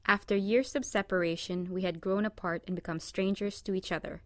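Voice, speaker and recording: Woman reading a presentation script, steady and measured, dry sound. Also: mid-range voice, no room sound. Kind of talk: scripted narration.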